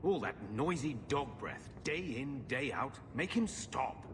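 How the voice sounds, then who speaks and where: An actor playing a grumpy, irritable man in a video game, grumbling in a drawn-out way. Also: mid-range voice, very animated, long reverb tail. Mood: irritated, grumbling. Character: grumpy, irritable man complaining about a dog. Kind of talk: video game cutscene acting. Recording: clear background ambience noise.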